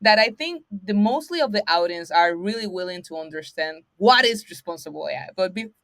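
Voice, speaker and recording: A woman's voice: fairly low pitch, lively intonation, brisk, a bit bright, dry recording. Enthusiastic woman host, dry sound. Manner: enthusiastic, laughs often, non-native phrasing. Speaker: podcast host. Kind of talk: casual interview hosting.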